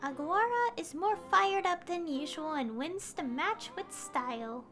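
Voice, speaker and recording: A woman playing an animated storyteller, narrating a fantasy video game from a script. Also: extremely high pitch, a little slow, full character voice. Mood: lively. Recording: loud game music behind.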